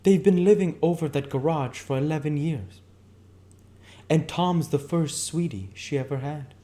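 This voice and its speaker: A man's voice: on the high side, a little slow, mellow, voice pushed up, lightly acted. A man voicing a gossipy, opinionated woman who confides, as a character in an audiobook reading of a novel. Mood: confiding.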